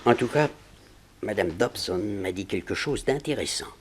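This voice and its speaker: An actor playing a composed, courteous gentleman client with polite, formal speech in a 1960s French radio drama. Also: lowish voice, expressive, moderate pace, husky. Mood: diplomatic, informative.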